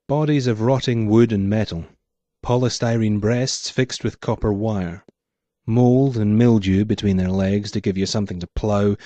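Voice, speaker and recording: A man's voice: on the low side, mellow, dry recording. A man, the author, reading his own first-person noir story aloud in a hardboiled manner.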